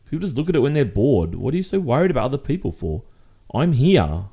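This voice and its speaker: A man with an Australian accent acting a jealous, exasperated boyfriend confronting his partner in a conversational roleplay monologue, halting and insistent. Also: mid-range voice, very animated, vocal fry, warm tone, slightly rough, heavily acted. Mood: angry, scornful.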